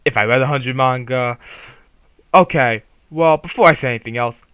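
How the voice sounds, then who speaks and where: A man's voice: medium pitch, normal speed, a bit bright, clean tone, dry recording. A man giving casual, opinionated commentary on a manga, swearing freely and rambling with restarts. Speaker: YouTuber reviewing a manga.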